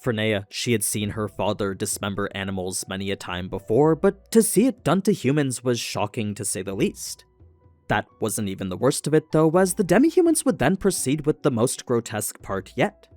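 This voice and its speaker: A man's voice: on the low side, sing-song, normal speed, darkish tone, dry recording. A man delivering scripted video essay narration in a storytelling way, with rhetorical asides to the listener. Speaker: narrator of an anime recap channel. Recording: voice-over with music underneath. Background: background music.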